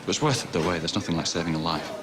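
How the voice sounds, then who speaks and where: A soft-spoken colleague offering reassurance. His voice sits low, with a clear hiss behind it. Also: lively intonation, rapid-fire. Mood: concerned. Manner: gentle, reassuring. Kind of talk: television soap drama acting.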